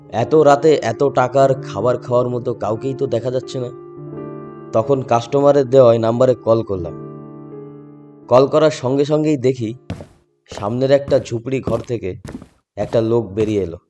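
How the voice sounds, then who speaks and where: Male first-person horror narrator, soft dark tone, music underneath. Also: medium pitch. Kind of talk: scripted horror story narration. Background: background music.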